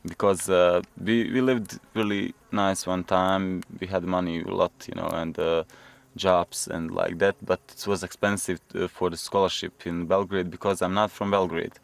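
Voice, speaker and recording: Low-voiced Bosnian man, halting English. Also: little pitch movement, creaky, no room sound. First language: Bosnian-Serbo-Croatian. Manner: halting, repeats "you know". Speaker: interviewee. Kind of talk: casual interview answers.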